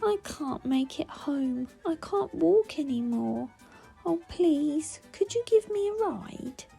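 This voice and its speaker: A woman's voice: high-pitched, expressive, slow, vocal fry, warm tone, soft background music, voice pushed up, heavily acted. A woman voicing an eager, sweet-natured young child in a storybook, coaxing and pleading. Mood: tearful. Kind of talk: storybook character voices.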